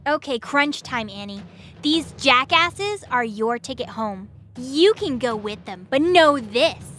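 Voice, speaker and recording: A woman's voice: high voice, lively intonation, slow, fairly bright tone, a little hiss or hum, exaggerated voice. A woman playing a boastful, defiant winged war goddess in an audio drama, with a menacing drawl. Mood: defiant threat. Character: fierce boastful winged war goddess, a brawling phantom queen.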